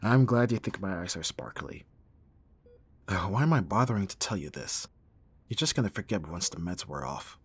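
A man playing a gruff but tender young bodyguard in an intimate ASMR roleplay, speaking softly with sighs and a husky voice. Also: low-pitched, expressive, normal speed, no echo, exaggerated voice. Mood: teasing. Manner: soft, intimate, sighing, teasing.

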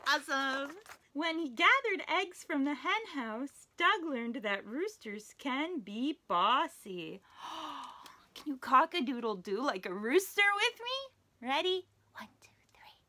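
High, lively young woman reading aloud. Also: slow. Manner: animated, sing-song, with playful animal noises. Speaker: children's librarian. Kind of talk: children's story time read-aloud. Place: small indoor room, wood-panelled.

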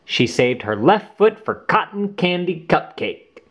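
A man reading a children's storybook aloud as host, in an animated, deliberate way for young listeners. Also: high voice. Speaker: children's book reader and channel host.

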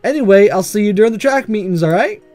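Dude doing sporty anime schoolgirl, breezy n competitive, high voice, visual novel. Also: expressive, fast, darkish tone, faint music behind. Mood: breezy, cheerful. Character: breezy, competitive, good-natured schoolgirl athlete. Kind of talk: visual novel read-aloud.

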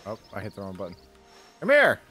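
Joking male streamer with lively pitch swings. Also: quick pace, husky. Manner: crude, joking, sweary. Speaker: game streamer, co-host. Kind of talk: casual gameplay banter. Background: game music.

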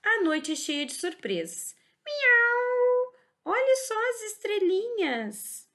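A Brazilian woman teacher reads a children's picture book aloud in a sing-song, exaggerated way. Her voice sits high and swoops widely. She takes her time over every line. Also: sing-song, slow pace, pushed and bright. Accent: Brazilian Portuguese. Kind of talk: animated storybook reading for young children.

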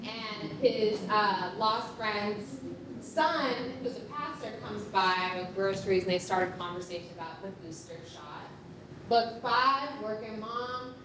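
A young adult woman giving an informal presentation to an audience, explaining things in a conversational way. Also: unhurried. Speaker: project presenter. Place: dim concrete rehearsal hall before an audience. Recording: echoey room, distant mic, noisy rumble.

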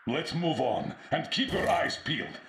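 An actor playing a gruff, terse older military commander in a video game, giving urgent orders. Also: male voice, fairly high pitch, animated, unhurried. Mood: grim, wary. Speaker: squad commander. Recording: distant, echoey, loud noise behind.

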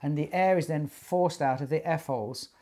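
A man in his sixties giving an informal explanatory talk to camera, speaking in measured, deliberate phrases with pauses. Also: a little high, darkish tone. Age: 60s. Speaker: loudspeaker designer and company technical director. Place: small attic listening room indoors.

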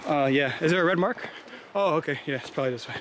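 Young man, out-of-breath hiking vlogger, chatty and joking, slightly high-pitched, handheld camera outdoors with clear background noise. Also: quick pace. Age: young adult. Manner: chatty, joking, rambling with fillers. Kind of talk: casual vlog while hiking. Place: wooded trail outdoors. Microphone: handheld camera at arm's length.

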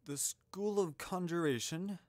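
A man's voice: mid-range voice, big pitch swings, dry recording, studio quality, voice pushed up. A man voicing a grandfatherly old wizard headmaster in an audiobook story, courteous, wordy and a little puzzled. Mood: politely inquiring. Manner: genteel, wordy, halting over asides.

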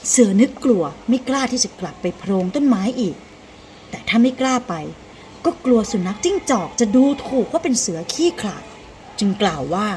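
A woman narrating a children's tale as a storyteller, in an expressive, deliberate, dramatic scripted style. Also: fairly high pitch, slight background noise.

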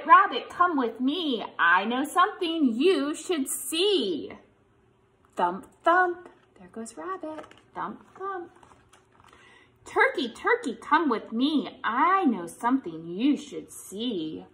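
An American woman in her thirties leading a children's storytime, with an exaggerated, encouraging way of talking to young kids. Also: high-pitched. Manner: animated, encouraging, exaggerated child-directed delivery with questions. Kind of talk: preschool lesson and picture-book read-aloud.

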